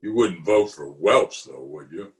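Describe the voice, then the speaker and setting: A man's voice: sits low, lively intonation, unhurried, echoey room. A man playing a pompous, easily exasperated middle-aged official in an old-time radio comedy, recorded at home over a video call. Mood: badgering. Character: blustery, exasperated middle-aged male water commissioner, pompous and opinionated.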